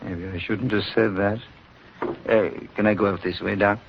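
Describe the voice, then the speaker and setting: An actor playing a plain-spoken, gullible police officer, hesitant and colloquial, in 1940s radio style. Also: male voice, on the low side, steady tone, normal speed, creaky. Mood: placating. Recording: noisy, dull old radio recording.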